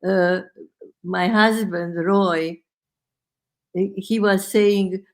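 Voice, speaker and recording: Slow-speaking woman. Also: medium pitch, steady tone. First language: Hungarian. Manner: measured, thoughtful, skeptical. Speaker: podcast co-host. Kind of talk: casual conversation.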